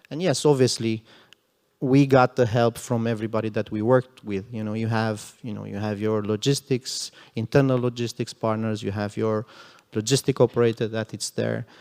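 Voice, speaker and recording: A man's voice: mid-range voice, a little mellow, smooth. A man speaking as a security panelist at a conference, earnest and emphatic, with frequent "you know" asides. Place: conference hall panel stage. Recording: long hall reverb.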